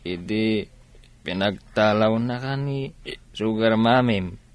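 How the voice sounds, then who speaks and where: A man's voice: on the low side, takes it slowly, clean tone. A man with an Ilocano accent playing a teasing, easygoing, nosy buddy in a radio comedy drama, drawling with many hums.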